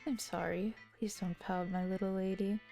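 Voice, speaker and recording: A woman voicing a clever, gentle boy who talks politely to his little sister. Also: mid-range voice, fairly even intonation, normal speed, vocal fry, soft background music, close to an everyday voice. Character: clever bookish boy, gentle older brother.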